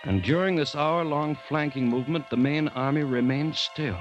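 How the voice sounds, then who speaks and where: An actor playing a cautious, alarmed government commissioner, narrating a jungle ordeal in formal, suspenseful old-time radio drama style. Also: male voice, a little high, normal speed, dull audio, close to an everyday voice. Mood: grave dread. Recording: vintage radio recording with background music.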